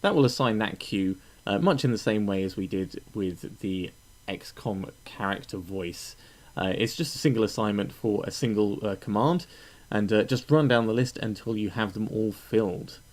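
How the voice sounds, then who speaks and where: Low-voiced man giving a software tutorial. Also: moderate pace. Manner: methodical, instructional, informal. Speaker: tutorial presenter. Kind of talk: step-by-step software tutorial narration. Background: voice-over for a screen recording.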